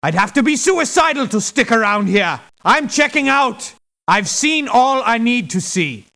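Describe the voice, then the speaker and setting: An actor voicing an exasperated, dismissive, sarcastic mercenary in a video game. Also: male voice, extremely high pitch, big pitch swings, unhurried, vocal fry, pushed and bright, exaggerated voice. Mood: fed up. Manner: sarcastic, scornful. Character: exasperated, dismissive, sharp-tongued male mercenary. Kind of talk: video game character voice lines.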